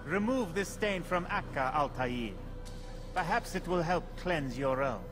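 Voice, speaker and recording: A composed bureau chief in a video game cutscene. His voice sits a little high for a man, formal and measured with a gently superior air. Music runs underneath. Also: lively intonation. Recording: music behind the voice.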